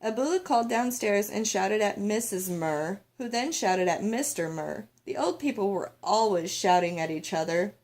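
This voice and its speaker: Female storybook reader, expressive narration for young children, medium pitch. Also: normal speed, few pauses. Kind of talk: expressive read-aloud of a children's picture book, with character voices.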